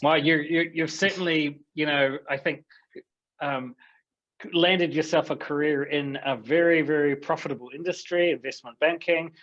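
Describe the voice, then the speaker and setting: A man's voice: on the high side, steady tone, normal speed, fairly bright tone, dull audio. A man hosting a conference interview in a chatty, upbeat way with frequent restarts. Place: Hong Kong.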